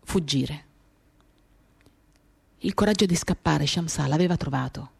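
A woman's voice: low-pitched, unhurried, creaky, husky. A woman reading a literary monologue for radio theatre in an intimate, dramatic, confiding way, in short phrases. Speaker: reader of a novel for radio theatre. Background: acoustic guitar music.

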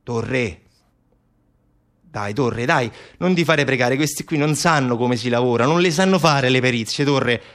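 A man's voice: mid-range voice, unhurried. A man playing an evasive, sarcastic official in a colloquial, theatrical satirical monologue. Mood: exasperated, defensive. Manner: colloquial, sarcastic, animated rhetorical asides. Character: evasive southern Italian official mockingly defending dubious forensic experts. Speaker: stage actor. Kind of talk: theatrical satirical monologue, one side of a conversation.

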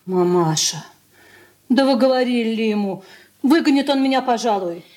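A woman's voice: on the high side, lively intonation, normal speed, darkish tone, close to an everyday voice. An actress playing a grown married daughter, wary around her brother, in a 1980s Soviet radio play. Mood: anxious.

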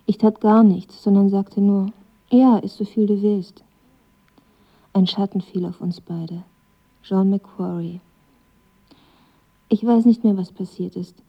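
Husky, reserved young woman. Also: mid-range voice, highs cut off. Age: young adult. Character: reserved, wistful young woman, the narrator's first love. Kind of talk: radio drama dialogue and letter reading.

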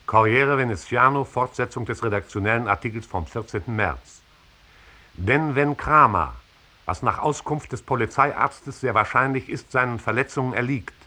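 An actor playing a formal newspaper reader in a radio drama, declaiming an editorial in official. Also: male voice, mid-range voice, sharp tone.

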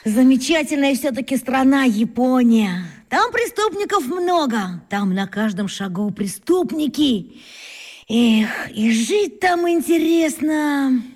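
Excitable high-voiced boastful schoolboy. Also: female voice, slow pace, full character voice. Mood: excited. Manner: breathless, boastful storytelling with exclamations. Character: excitable boastful schoolboy playing amateur detective. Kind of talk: children's radio drama acting.

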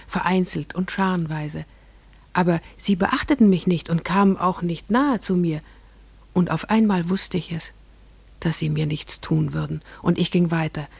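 An actress playing an entranced farmer's wife and mother, telling her strange memories in a measured, lyrical radio play monologue. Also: fairly low pitch, gravelly, darkish tone, slightly rough, dry recording. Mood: hushed wonder.

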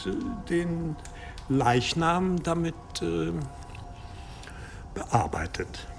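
An actor playing an obsequious, scheming medieval monastery exorcist in an audio drama, deferential, hesitant and persuasive. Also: male voice, fairly high pitch, very animated, extremely slow, gravelly, faint music behind, full character voice. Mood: insistent, nervous. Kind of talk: German audio drama acting.

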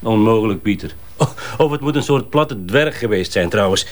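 Actor as a dry, sceptical Flemish detective. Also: male voice, lowish voice, expressive, moderate pace, natural voice. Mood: sceptical, sarcastic. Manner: dry, reasoning, gently sarcastic. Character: sceptical, dry, level-headed male police detective sidekick. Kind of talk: naturalistic detective audio drama acting.

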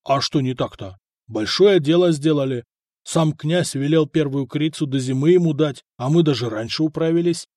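A man's voice: on the high side, moderate pace, dark tone, dry recording, clean studio sound, lightly acted. A man voicing a burly, proud blacksmith who blusters at his wife in a storybook reading. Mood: gruff blustering. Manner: gruff, blustering.

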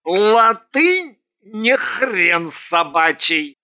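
A woman's voice: mid-range voice, big pitch swings, bright, forceful tone, muffled recording, heavily acted. A woman voicing a very drunk man in a story, a pedantic, obliging husband who slurs and halts self-importantly. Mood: helpful. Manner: slurred, halting, self-important drawl.

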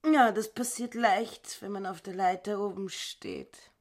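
An actress playing a nosy, fawning housekeeper with an oily way of speaking, in a radio play. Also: female voice, mid-range voice, a little slow, lightly acted.

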